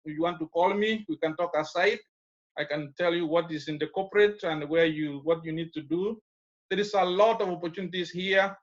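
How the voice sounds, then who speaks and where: A man with a Kenyan accent talks from his office on a video call. His voice sits high for a man, and the audio comes through muffled. He rambles loosely, with lots of fillers. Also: a little fast. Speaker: alumnus speaking at a graduation. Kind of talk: casual advice speech on a video call.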